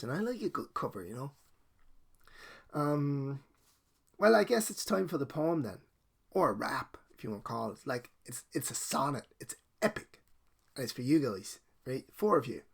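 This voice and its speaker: A middle-aged Danish man speaks into a desk microphone with a gravelly, fry-laced voice. His Danish accent carries Irish and American traces, and he rambles self-consciously, apologizing often. His pitch sits in a middle register for a man. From Denmark. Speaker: comic book collector. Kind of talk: casual heartfelt video message.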